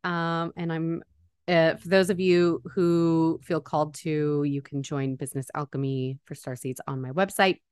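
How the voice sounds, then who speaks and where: Bubbly low-voiced woman podcast host. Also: steady tone, a little slow, a little mellow, clear, resonant tone, no echo. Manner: bubbly, laughs often, many fillers. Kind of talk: casual podcast interview.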